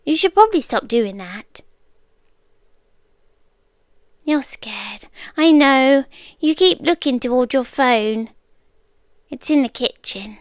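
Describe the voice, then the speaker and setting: A British woman playing an obsessive, eerily calm stalker girlfriend in an intimate ASMR roleplay, speaking in measured, deliberate phrases that often slip into whispers, close to the mic. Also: high-pitched, dry recording. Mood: calm, possessive. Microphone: close dry microphone.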